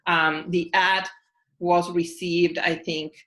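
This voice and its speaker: A woman guest professor talking in a measured way with frequent fillers over a video call. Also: lowish voice, flat delivery, fairly bright tone. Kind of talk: casual interview talk.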